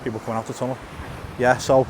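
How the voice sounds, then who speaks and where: A man's voice: mid-range voice, flat delivery, fast talker, noisy background. A middle-aged man, a lisp and a stutter, talking casually to camera on a walk outdoors. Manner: stutters, repeats and restarts words, fillers. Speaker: YouTuber. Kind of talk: casual walk-and-talk vlog. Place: outdoors in a town, by a tunnel.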